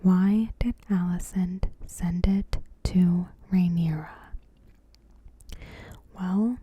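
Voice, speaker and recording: A woman ASMR host whispering a scripted recap in a breathy, gentle and deliberate way. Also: fairly low pitch, fairly even intonation, a little hiss or hum.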